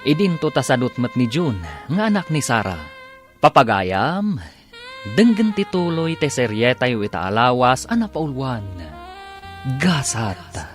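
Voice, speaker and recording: A man narrating a radio drama as announcer, with dramatic, drawn-out theatrical emphasis. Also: mid-range voice, big pitch swings. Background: background music.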